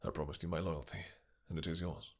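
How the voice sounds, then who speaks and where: A man acts out a possessive vampire king with a very low voice full of gravel. He is intense and lovestruck, speaking in courtly, old-fashioned phrases broken by halting pauses. It sounds like an intimate fantasy audio drama, with a husky edge to the delivery. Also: steady tone, a little mellow. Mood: restrained desire. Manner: formal archaic courtly diction, halting breathy pauses. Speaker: voice actor in romantic audio roleplay. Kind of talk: intimate romantic fantasy roleplay acting.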